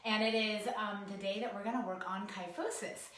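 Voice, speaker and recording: Hoarse woman leading desk stretches. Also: mid-range voice, steady tone, normal speed, a bit bright, very echoey. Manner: casual, encouraging, talks while demonstrating stretches. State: hoarse from a cold. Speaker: posture and ergonomics instructor. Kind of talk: guided stretching lesson, talking to camera. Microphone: camera mic, sometimes turned away.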